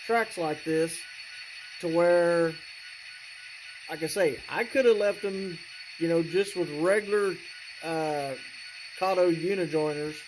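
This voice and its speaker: A hobbyist presenter giving a casual, unscripted demonstration in a halting, rambling way, often clearing the throat. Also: mid-range voice, rough recording. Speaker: hobbyist presenter showing a model train layout.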